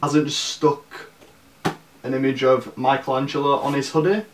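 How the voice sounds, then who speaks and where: An eighteen-year-old man with a Northern English accent from Leeds, talking casually and haltingly to camera as a fashion vlogger. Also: medium pitch, little pitch movement. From Leeds, northern England. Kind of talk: casual product review to camera. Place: small bare room indoors. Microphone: camera mic at a distance. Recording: echoey room, distant mic, background hiss.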